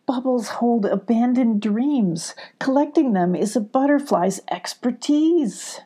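A woman's voice: fairly high pitch, slow pace, warm tone, husky, pitched above the performer's own voice. A woman voicing a small, earnest, anxious female creature in a children's storybook, with a fretful, dramatic delivery. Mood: distressed.

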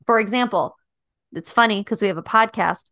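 A young adult woman co-hosting a podcast, chatting in a thoughtful, reflective way with frequent fillers, with a voice she calls high-pitched. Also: a little fast, sharp tone, no echo. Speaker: podcast co-host. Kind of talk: casual chat about a dating show.

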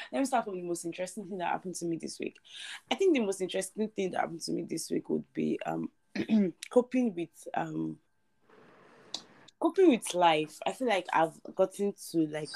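Woman, young adult, from Nigeria, podcast co-host, gravelly, lower voice, playful. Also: big pitch swings, normal speed, a little mellow. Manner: playful, teasing, laughs often. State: tired. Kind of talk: casual chat.

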